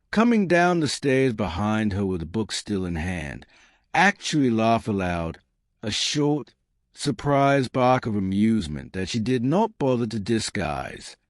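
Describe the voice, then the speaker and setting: Male narrator, low pitch, lively intonation, formal measured storytelling, dry recording. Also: dry recording. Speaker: audiobook narrator. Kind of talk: scripted narration of a historical romance story.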